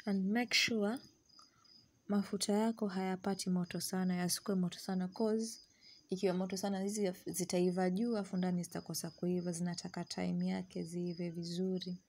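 Chatty young woman, lowish voice. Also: flat delivery, normal speed, darkish tone. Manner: chatty, switches between English and Swahili. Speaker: cooking vlogger. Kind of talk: casual cooking tutorial. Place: small home kitchen indoors. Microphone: camera on counter, medium distance.

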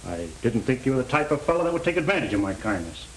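An actor playing a stern but fair middle-aged parole officer in a 1930s crime film. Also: male voice, mid-range voice, very animated, quick pace, natural voice. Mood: firm, lenient. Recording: roomy, hissy old film soundtrack.

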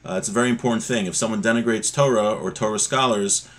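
A middle-aged man giving an informal religious lesson, explaining with examples in a conversational, somewhat halting way. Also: on the low side. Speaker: religious teacher. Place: small home room, indoors. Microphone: webcam or laptop mic at desk distance.

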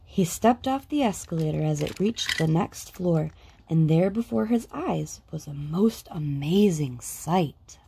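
A woman narrating a children's picture book in a lilting, expressive read-aloud style. Her voice is mellow and warm, with a gravelly, husky grain, and her pitch rises and falls widely. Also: a little slow. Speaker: storybook reader.

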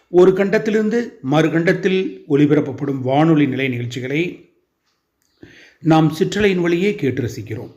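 A man hosting an informational radio-style programme, speaking formally and deliberately in short phrases with pauses between them. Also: a little high, dark tone, dull audio. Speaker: host of a radio hobby programme.

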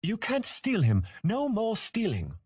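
A man's voice: mid-range voice, big pitch swings, normal speed, darkish tone, husky, dry recording, clean studio sound, voice pushed up. A man voicing a cheerful, naive young boy dragon hatchling in a fantasy audiobook, with a childlike, eager, chirpy manner. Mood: indignant.

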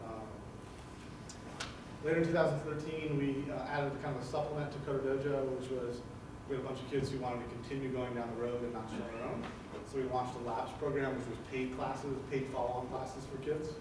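A man's voice: medium pitch, level tone, fairly quick. A man in his thirties giving a conference keynote from a podium, speaking loosely with many ums and uhs. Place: large conference hall, indoors. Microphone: podium mic, heard from a distance. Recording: echoey hall from far off, with hiss.

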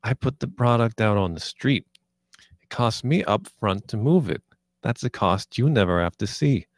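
Man playing a low-voiced, cocky drug dealer. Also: expressive, moderate pace, no echo. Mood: aggressive. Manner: sarcastic, foul-mouthed, taunting. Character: cocky, menacing 29-year-old male drug dealer. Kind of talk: amateur screenplay table read.

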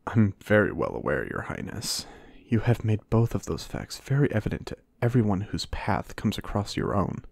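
A man playing a reserved, dry-witted American knight in a fantasy ASMR roleplay, speaking in measured, formal banter. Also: fairly low pitch, sing-song, gravelly, no room sound, heavily acted. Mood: wry.

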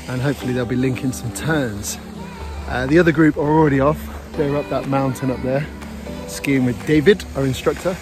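A man talking casually to camera as a ski camp leader, explaining things in an informal, upbeat way. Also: fairly high pitch. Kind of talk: casual vlog talk to camera. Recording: music behind the voice. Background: music.

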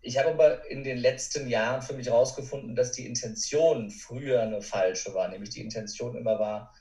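A man's voice: medium pitch, moderate pace, darkish tone. A man giving earnest, reflective answers as a podcast guest, with emphatic repetition. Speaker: podcast guest, courage expert. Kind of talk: reflective conversational interview answers. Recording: roomy, distant from the mic.

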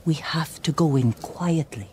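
A woman's voice: low voice, measured pace, a little mellow, slight background noise. An actress playing a tough, pragmatic female survivor in a video game, blunt and intense but restrained. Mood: tense focus. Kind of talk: dramatic video game acting.

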